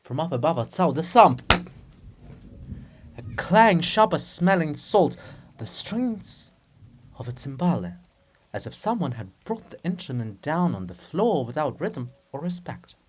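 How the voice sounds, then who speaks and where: A person giving a dramatic reading of a play in a theatrical, deliberate manner, phrase by phrase. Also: medium pitch, very animated, breathy edge. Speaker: reader of a play.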